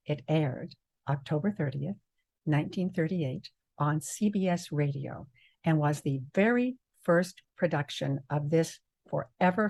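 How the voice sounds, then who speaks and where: Older lady director introducing a radio play, measured and formal. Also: low voice, creaky, mellow, poor audio. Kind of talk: prepared spoken introduction.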